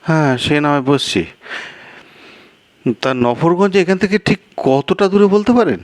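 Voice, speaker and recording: Man acting an anxious, high-pitched weary traveller. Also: a little slow, no echo, lightly acted. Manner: fretful, hesitant, plaintive. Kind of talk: acted storytelling dialogue.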